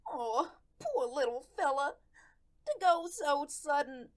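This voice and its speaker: A woman's voice: high voice, animated, slow pace. A woman playing a weepy, grieving sweetheart in a radio drama, crying and wailing melodramatically. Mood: sobbing grief.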